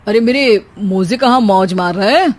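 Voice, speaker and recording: A woman storyteller voicing a hurried, demanding husband who teases flippantly in a domestic story. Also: mid-range voice, close to an everyday voice. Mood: impatient, bossy. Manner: bossy, flippant, impatient.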